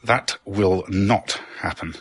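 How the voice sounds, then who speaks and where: A man's voice: on the high side, huge pitch swings, a little fast, a bit bright, breathy edge, voice pushed up, full character voice. A man voicing a proud, defiant young princess in an imperious, firm manner. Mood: proud defiance. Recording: music under the voice.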